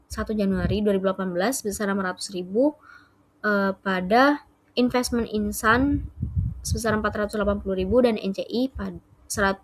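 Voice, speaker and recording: Casting: young adult female, mid-range voice, student presenter explaining coursework, slightly halting. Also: medium pitch. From Indonesia.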